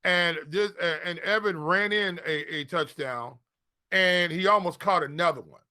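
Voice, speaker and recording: A man's voice: sits high, measured pace, bright, forceful tone, no echo, clean studio sound. A man co-hosting a casual sports chat, giving picks in informal, slangy talk and repeating phrases for emphasis. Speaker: fantasy football podcast co-host.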